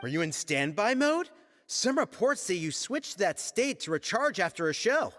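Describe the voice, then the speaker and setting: An actor playing an eager, pressing entertainment reporter in a video game, with a polished delivery and a long reverberant tail. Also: male voice, high voice, very animated, normal speed, fairly bright tone, slight background noise. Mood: curious.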